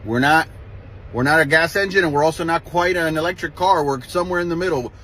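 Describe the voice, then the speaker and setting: A man's voice: on the high side, quick pace, pushed and bright, slight background noise. A man born in Cuba and raised in Miami, with a Cuban Miami accent, ranting casually and joking in a brash, profane way while switching between English and Spanish. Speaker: social media commentator. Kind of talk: casual bilingual rant to camera.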